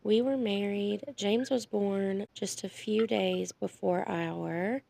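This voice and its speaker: A woman's voice: mid-range voice, fairly even intonation, slow pace, gravelly, mellow. A woman in her thirties, sick with chest congestion, chatting casually as a podcast co-host and rambling through details. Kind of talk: casual chat.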